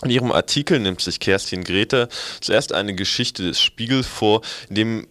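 A man's voice: sits low, fairly bright tone. A man presenting on radio, reading a scripted magazine commentary aloud.

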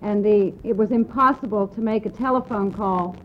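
A woman's voice: medium pitch, normal speed, slight background noise. American woman on a dull lo-fi tape. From United States. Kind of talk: personal testimony, spoken in short portions for interpretation. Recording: dull lo-fi archive radio tape.

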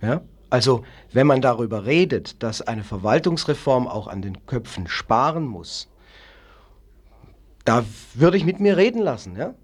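A man's voice: medium pitch, very animated, a little slow, breathy edge. A man in a spontaneous political discussion, speaking in an opinionated, emphatic way and often tagging statements with a questioning word.

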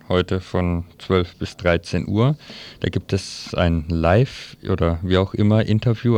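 A man with a low, gravelly voice announces upcoming radio programmes. His presenting style is semi-casual, somewhere between reading and chatting. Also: a little mellow.

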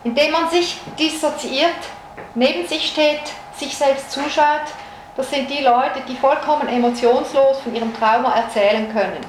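Higher-voiced woman lecturing in an echoey room. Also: normal speed. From Switzerland. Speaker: psychologist giving a lecture. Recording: echoey room recording with noise.

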